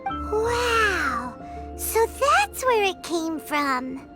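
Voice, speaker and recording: A woman voicing a tiny, cheerful childlike fairy companion with a squeaky, expressive voice in an anime-style game. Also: drawn-out words, heavily acted. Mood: curious, amazed. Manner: expressive, speaks of herself in third person. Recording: loud game music under the voice.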